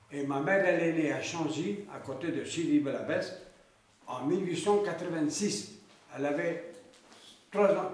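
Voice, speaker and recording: A man in his seventies from Oran recalling his past, talking slowly and haltingly with many repeated words. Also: a little high, a little mellow. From Oran, Algeria. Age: 70s. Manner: halting, repeats words, slow reflective delivery. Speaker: singer. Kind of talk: recalling memories in an interview. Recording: echoey room, distant mic, faint noise.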